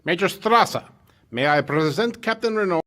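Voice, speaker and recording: Man playing a high-pitched, officious military aide. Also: expressive, moderate pace, a bit bright, soft background music. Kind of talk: 1940s radio acting.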